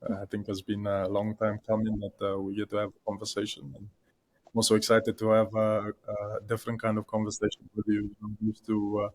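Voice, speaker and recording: A man's voice: lowish voice, monotone, fairly quick, a little mellow, a little hiss or hum, rough recording. A young man from South Africa, a guest on a podcast interview, talking with many fillers and restarts. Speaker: guest, content creator.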